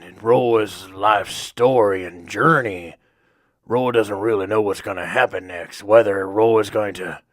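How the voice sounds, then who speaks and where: A man puts on a dim oddball hero from a comic space audio drama. He talks low and slowly, rambling deadpan in the third person. The sound is close and dry. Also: dry recording, close to an everyday voice. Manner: rambling, deadpan, refers to himself by name.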